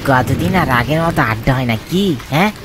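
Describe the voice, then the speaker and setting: A man's voice: medium pitch, lively intonation, moderate pace, natural voice. A chatty young village friend in a horror cartoon, acted by a man. He talks casually and matter-of-factly in a mid-range voice. Noisy rain and thunder sit behind him. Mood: friendly teasing. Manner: casual, conversational.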